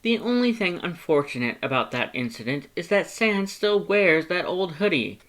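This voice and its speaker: A man's voice: a little high, very animated, normal speed, fairly bright tone, voice pushed up, rough recording. A man voicing a proud, earnest cartoon skeleton in a story, with a bombastic, formal and emphatic way of talking. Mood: earnest, approving.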